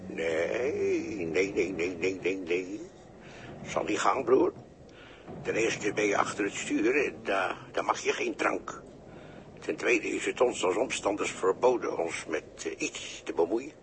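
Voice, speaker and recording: An actor playing a folksy, evasive, callously matter-of-fact bystander in a halting, colloquial 1960s radio drama style. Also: male voice, low-pitched. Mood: jovial, evasive. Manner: halting, colloquial, with tags and repeats. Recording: noisy early 1960s radio recording.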